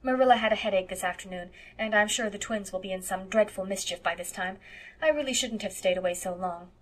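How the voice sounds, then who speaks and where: Woman reading as a gentle, earnest young woman. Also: mid-range voice, fast, close to an everyday voice. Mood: brisk, careless. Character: gentle, earnest, romantic young woman, fond elder guardian. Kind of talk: audiobook character voice.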